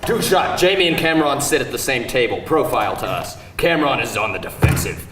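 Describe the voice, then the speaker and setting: A man's voice: mid-range voice, animated, brisk, music in the background. A man reading stage directions as scripted narration at a live table read. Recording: distant mic, roomy venue, faint noise.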